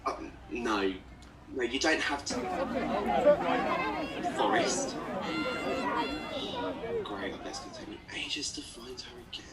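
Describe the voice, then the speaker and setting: An actor playing an earnest, questioning young man in an audio play, hesitant and expressive. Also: mid-range voice, takes it slowly, pauses often, heavy background noise, natural voice. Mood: hesitant wonder. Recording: busy crowd murmur behind.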